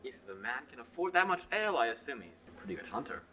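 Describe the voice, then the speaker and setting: A man's voice: mid-range voice, very animated, quick pace, bright, forceful tone. A teenage boy in a student radio play, acting an arrogant young noble prince who talks in a haughty, cutting way. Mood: aloof.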